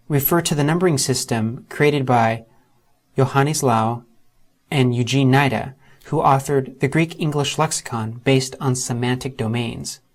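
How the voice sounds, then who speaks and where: A man with a mid-range voice talks through a software tutorial in a steady, methodical way. He takes each step in order and slows right down whenever he spells out a term or letters. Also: medium pitch. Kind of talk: scripted screen-recorded tutorial narration.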